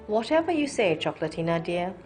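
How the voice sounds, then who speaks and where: A woman voicing a brisk, unbothered mother in a children's storybook, hurrying her child along. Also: fairly low pitch, sing-song, darkish tone, faint music behind. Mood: brisk, dismissive.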